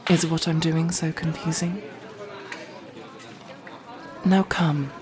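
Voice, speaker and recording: A woman playing a regal, resentful, dutiful sorceress matriarch in a scripted ASMR roleplay, speaking in a formal, measured, imperious way. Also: deep voice, steady tone, crowd noise. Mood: commanding.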